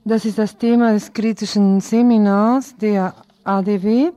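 Female radio presenter, unhurried pace, soft mellow tone, event listings. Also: medium pitch, fairly even intonation, no echo.

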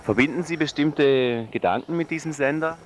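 A man's voice: on the high side, normal speed. Male street reporter, faint noise behind. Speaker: radio reporter doing street interviews. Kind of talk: vox pop interviewing. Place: outdoors on a busy city street.

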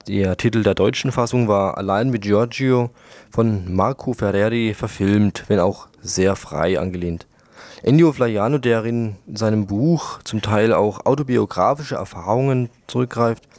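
A man's voice: lowish voice, darkish tone, no echo. A man presenting a radio programme, reading from a script.

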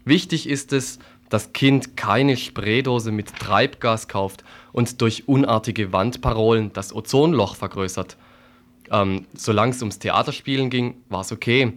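A man with a fairly low voice works as a radio reporter. He delivers wry commentary in a dry, ironic manner. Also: a little slow.

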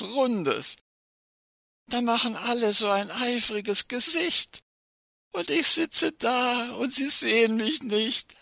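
A man's voice: high-pitched, gravelly, sharp tone, no room sound, studio quality, full character voice. A man playing danger personified as a sinister, falsely courteous guest, reciting satirical verse theatrically, slyly savouring each phrase. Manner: mock-polite, sly, deliberate, savouring each phrase.

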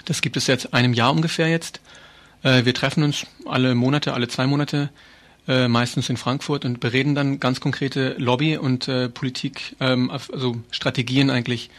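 A man being interviewed as an activist, explaining things earnestly and informally with hesitant phrasing. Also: mid-range voice.

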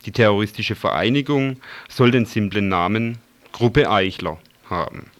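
A man reporting radio news and asking interview questions as a reporter. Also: mid-range voice.

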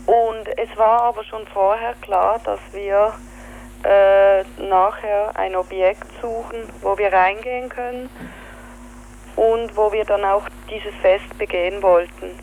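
A woman with a Swiss German accent, telling in an interview what happened, halting with fillers and searching for words. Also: mid-range voice, steady tone, darkish tone, a little hiss or hum, rough recording. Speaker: activist interviewee. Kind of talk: spoken account in an interview.

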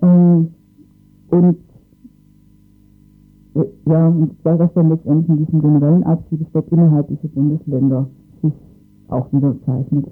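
A man's voice: a little high, level tone, normal speed, long pauses, phone-quality sound, faint music behind. A man explaining matters in a radio interview, hesitantly searching for his words. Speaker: interviewed expert on asylum cases.

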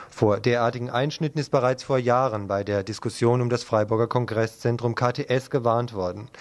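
A man reads local news from a script in a plain, steady manner. His voice is mid-pitched, neither high nor low for a man. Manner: steady, matter-of-fact reading. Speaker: radio news reader.

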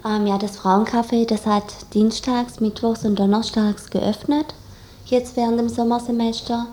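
A woman's voice: fairly high pitch, flat delivery, measured pace, warm tone. A young woman giving casual explanations as a guest in an interview. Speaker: interview guest, student activist.

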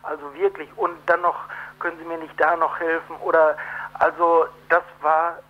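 Higher-voiced man on a muffled phone line. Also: level tone, moderate pace. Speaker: activist guest from an unemployed group. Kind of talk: live phone radio interview. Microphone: telephone. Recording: muffled, dull telephone line.

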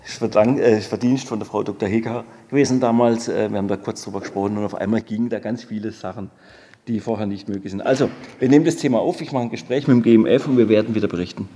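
Mellow, halting man in echoing hall. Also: on the low side, steady tone. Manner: conciliatory, halting, repeats words. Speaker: mayor chairing a committee. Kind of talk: spontaneous meeting reply. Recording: echoey meeting hall.

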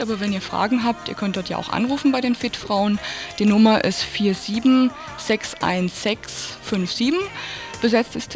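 A woman's voice: mid-range voice, a little mellow. A woman presenting a radio programme and reading out announcements in an informal way. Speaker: radio host.

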